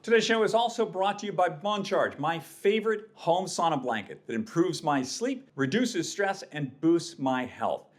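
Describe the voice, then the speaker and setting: A man's voice: a little high, animated, normal speed, hardly any pauses. A man speaking as a podcast host, in interviews and sponsor reads.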